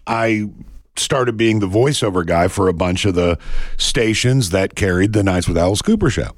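A man with a raspy voice who works as a voiceover announcer, telling stories in casual radio chat. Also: lowish voice, moderate pace, no echo.

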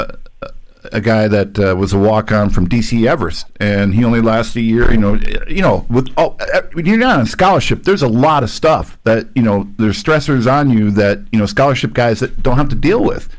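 An American man with a medium-pitched voice hosts a casual sports discussion. He talks in a halting, rambling way, circling toward his point like someone chatting with old teammates. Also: expressive. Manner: halting, rambling, often says "you know". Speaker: talk show host, former college football player.

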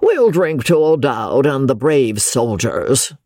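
A woman doing a blustering greedy gentleman. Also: very deep voice, very animated, slow pace, dry recording, studio quality, full character voice. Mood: coaxing. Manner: coaxing, blustering.

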